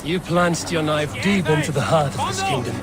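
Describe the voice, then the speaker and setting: An actor playing a calm, composed Viking warrior in a video game cutscene, speaking in a measured, dry way. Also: male voice, on the high side, loud music behind the voice, close to an everyday voice.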